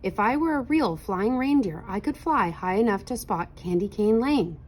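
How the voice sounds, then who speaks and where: A woman's voice: medium pitch, animated, moderate pace, natural voice. A woman voicing a cheerful, plucky broken reindeer ornament in a children's storybook, breezy and exclaiming. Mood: wistful.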